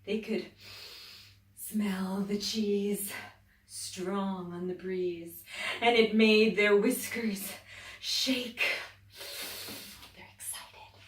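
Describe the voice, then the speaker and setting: A woman teacher reading a children's story aloud, expressive, dropping to whispers and rising to loud exclaims. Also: medium pitch, extremely slow, long pauses. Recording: echoey room, distant mic.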